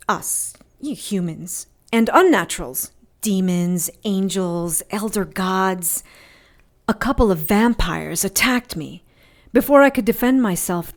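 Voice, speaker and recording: A woman's voice: medium pitch, lightly acted. A woman playing a tough, wounded adventurer in an audio drama, telling her story in a wry, sardonic, colloquial way. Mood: matter-of-fact.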